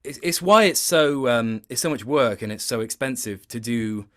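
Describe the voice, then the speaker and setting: A man's voice: mid-range voice, animated, normal speed, a bit bright. A man speaking as a guest voice actor in an interview, explaining his work reflectively in stop-start phrases. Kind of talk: interview chat about performance work.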